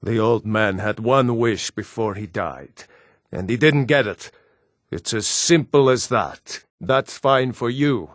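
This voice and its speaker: Stern, measured Nord warrior played by a man. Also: mid-range voice, no room sound, clean studio sound, natural voice. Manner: solemn, commanding, terse martial delivery. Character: gruff proud Nord warrior, stern battle-hardened brother-in-arms. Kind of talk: fantasy video game voice acting.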